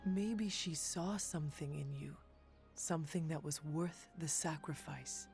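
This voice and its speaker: A woman playing a stoic but compassionate warrior hero in a video game, speaking in a measured, formal way. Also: deep voice, steady tone, darkish tone, soft background music. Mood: gentle, consoling. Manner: measured, formal, few contractions.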